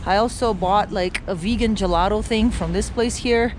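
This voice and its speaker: A woman's voice: medium pitch, normal speed, poor audio. Chatty middle-aged woman from Romania walking outdoors. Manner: chatty, rambling, with many fillers and restarts. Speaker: travel vlogger. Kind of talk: casual walk-and-talk vlog. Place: city street outdoors. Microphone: handheld camera while walking. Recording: outdoor street noise and traffic.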